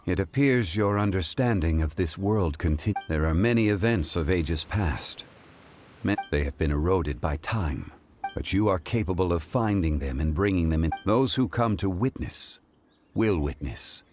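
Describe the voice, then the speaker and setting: A man playing a calm, dignified ancient god in a video game, speaking in a measured, formal and solemn way. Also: deep voice, slight background noise. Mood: calm, earnest.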